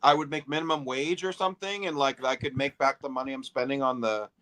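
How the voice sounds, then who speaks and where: A man's voice: mid-range voice, steady tone, fast, a bit bright. A man co-hosting a toy livestream, making wry joking asides. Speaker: co-host. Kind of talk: casual livestream chat.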